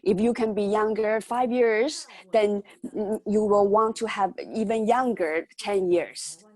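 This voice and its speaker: Woman, 50s, guest speaker; chatty storyteller, non-native phrasing, mid pitch. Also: fairly even intonation, measured pace, darkish tone, no room sound. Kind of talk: online training talk.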